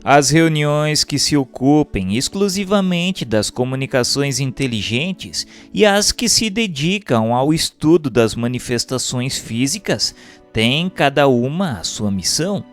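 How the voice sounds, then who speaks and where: A Brazilian man reading a book aloud as scripted audiobook narration, in a measured, formal way. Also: mid-range voice, expressive, faint music behind.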